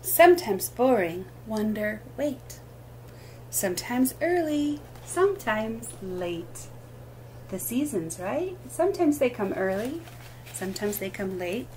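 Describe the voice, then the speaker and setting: A woman hosting a children's story time, reading a picture book aloud expressively in short, deliberate phrases. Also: a little high, very animated, a little mellow, slight background noise, low-quality recording.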